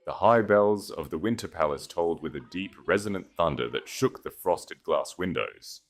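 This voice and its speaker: A man reading scripted dramatic fiction as narrator, in a measured, solemn and theatrical manner. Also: deep voice, lively intonation.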